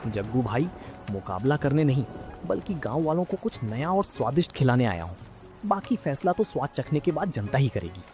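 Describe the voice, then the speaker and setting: A man's voice: medium pitch, big pitch swings, moderate pace, a little mellow, faint music behind, natural voice. A man playing a humble, hardworking street food seller in a cartoon, polite, earnest and hopeful. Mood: earnest, determined.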